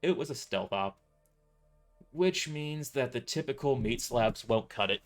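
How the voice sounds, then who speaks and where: Animated male audio-drama narrator. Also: mid-range voice, measured pace, faint music behind. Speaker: author and narrator. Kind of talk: first-person scripted audio-drama narration.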